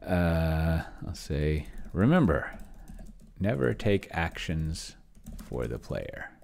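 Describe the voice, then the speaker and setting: Very deep, gravelly middle-aged man thinking aloud. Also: big pitch swings, slow, a little mellow, a little hiss or hum. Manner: rambling, muttering to himself while reading and typing. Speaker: host, solo roleplayer testing an AI dungeon master. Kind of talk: casual think-aloud screen-share commentary. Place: indoor home room with shelves.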